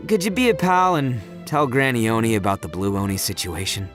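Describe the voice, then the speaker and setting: A man's voice: mid-range voice, fairly quick, fairly bright tone, close to an everyday voice. A man voicing a brash, big-hearted young oni gang leader in a video game, in a boisterous, slangy, easygoing manner. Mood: sheepish, easygoing. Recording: music under the voice.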